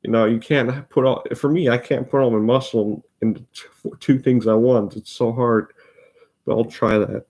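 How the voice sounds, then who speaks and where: A man's voice: medium pitch, steady tone, moderate pace, darkish tone. Chatty Midwestern teen boy. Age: teens. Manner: rambling, self-interrupting, chatty. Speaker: racing video host. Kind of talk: casual commentary over race replays.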